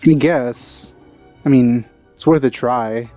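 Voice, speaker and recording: Casting: relaxed male let's-player, mellow mid-range voice, wry asides, faint game music behind. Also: mid-range voice, slow. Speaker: let's-play commentator. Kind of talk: casual game commentary.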